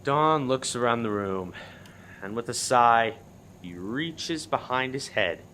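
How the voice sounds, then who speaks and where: A man narrating a scripted comic murder mystery as animated storytelling, with noise in the background. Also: medium pitch, takes it slowly. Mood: suspenseful. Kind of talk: scripted radio play narration.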